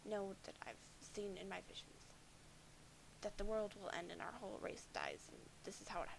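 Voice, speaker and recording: A woman's voice: medium pitch, wildly swooping pitch, normal speed, gravelly, background noise. A woman voicing a bitter, foul-mouthed alien troll boy who sees himself as a prophet of doom, sardonic and defensive.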